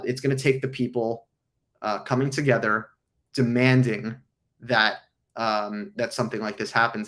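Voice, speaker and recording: A man hosting a casual livestream, answering viewer questions with frequent ums, his voice hoarse from illness. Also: on the low side, a bit bright. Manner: frequent ums, earnest explanatory.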